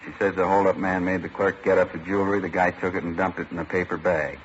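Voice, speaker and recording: An old, slightly dull radio recording of a man acting an earnest young American husband. His voice is very deep and he talks quickly in plain, folksy phrases. He comes across as helpful, like an interpreter in a fifties crime drama. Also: little pitch movement, lightly acted. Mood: matter-of-fact. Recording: muffled old radio recording, faint noise.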